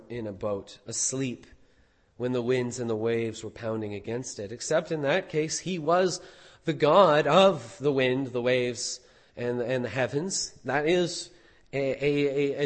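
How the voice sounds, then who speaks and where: A hoarse man preaching a sermon as pastor, animated, with rhetorical questions. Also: fairly high pitch, big-room sound.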